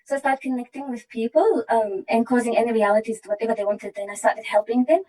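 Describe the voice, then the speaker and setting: A woman from Iraq, earnestly sharing a personal testimony with halting restarts. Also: fairly high pitch, steady tone, warm tone, distant mic, poor audio. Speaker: program participant sharing her story.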